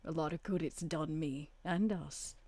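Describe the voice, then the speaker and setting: A composed vampire woman, played by a woman at a roleplay table, speaks in a lowish, mellow voice. She is measured and sardonic, with dry wit, recorded close and dry. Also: fairly even intonation, no room sound. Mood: cool, wry.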